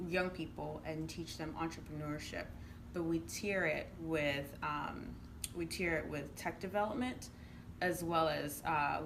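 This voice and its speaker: A woman from Uganda giving conversational interview answers in an earnest, explanatory manner, often checking in with "right?". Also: low-pitched. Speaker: interviewed entrepreneur and youth programme coach. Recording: clearly noisy room ambience.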